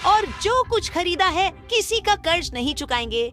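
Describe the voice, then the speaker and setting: A woman voicing an eager, excitable young village boy in a cartoon. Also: very high voice, moderate pace, music in the background. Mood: alarmed. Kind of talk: animated cartoon dub.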